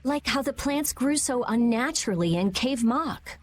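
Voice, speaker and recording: A woman's voice: on the high side, animated, normal speed. An actress playing a brainy, brusque teenage girl researcher in a video game. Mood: pondering. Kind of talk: animated video game acting.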